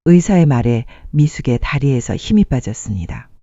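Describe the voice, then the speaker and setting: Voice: medium pitch, animated, moderate pace, dark tone, dry recording, muffled recording. A narrator telling a scripted dramatic life story in an earnest way, posing rhetorical questions.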